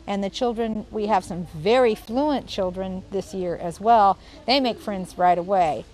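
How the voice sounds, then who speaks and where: A woman's voice: mid-range voice, measured pace, darkish tone, poor audio. A middle-aged woman explaining things in an interview as a camp teacher or organiser.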